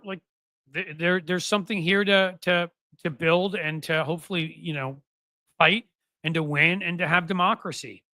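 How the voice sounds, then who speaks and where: A man's voice: fairly high pitch, pushed and bright, no echo. A man in his fifties hosting a political livestream, talking casually to viewers in a rambling way with wry asides. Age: 50s. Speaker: political podcast host.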